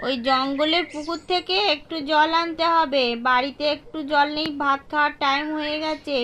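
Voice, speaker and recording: A woman's voice: high voice, steady tone, moderate pace, bright, forceful tone. A woman voicing a strict, protective village mother in a cartoon, giving firm instructions and cautions. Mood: bossy. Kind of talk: cartoon horror story dialogue.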